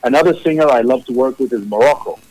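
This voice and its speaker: Measured man talking from his studio. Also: medium pitch, a little mellow, no echo, low-quality recording. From Zambia. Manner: measured, repeats words while thinking. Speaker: musician guest. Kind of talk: remote interview, casual. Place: his own music studio.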